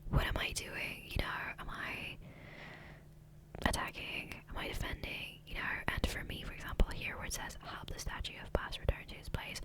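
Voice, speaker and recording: A woman whispering softly and breathily into a close microphone in a rambling, hesitant ASMR gameplay commentary, often trailing off. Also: normal speed. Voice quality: soft, breathy unvoiced whisper. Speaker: ASMR gaming creator. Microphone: close USB condenser microphone.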